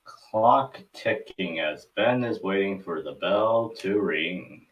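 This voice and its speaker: Male table-read narrator, low pitch, scripted delivery, slightly distant mic. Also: expressive, slow, muffled recording.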